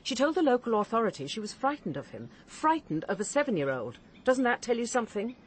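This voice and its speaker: Stern, husky-voiced Englishwoman barrister. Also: on the high side, very animated, fast. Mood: cold, scornful. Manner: articulate, caustic, controlled. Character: stern, cutting female barrister and cold mother. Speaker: actor in a radio drama. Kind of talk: radio drama acting.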